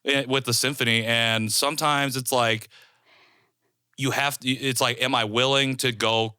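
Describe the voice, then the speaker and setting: A man's voice: medium pitch, steady tone, measured pace, sharp tone, clean tone, no echo, studio quality. An American man hosting a podcast, talking casually in a supportive, reflective way. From United States. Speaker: podcast host. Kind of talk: casual conversational interview.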